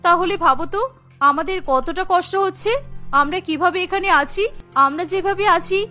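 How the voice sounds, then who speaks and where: High, bright, strict mother-in-law. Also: normal speed, faint music behind. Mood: firm, reasoning. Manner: firm, admonishing. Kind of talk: Bengali cartoon voice acting.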